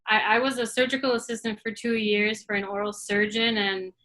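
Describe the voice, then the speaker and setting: A woman co-host joins the talk in a casual, conversational way, sharing her own experience. Her voice is bright and crisp, sitting in a middle pitch. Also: level tone, fairly quick. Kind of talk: casual chat.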